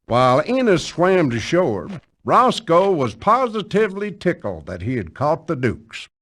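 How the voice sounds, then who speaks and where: Lively, folksy Southern storyteller. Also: male voice, a little high, unhurried, heavily acted. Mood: wry, amused. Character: folksy, wry Southern male storyteller narrator. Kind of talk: scripted video game narration.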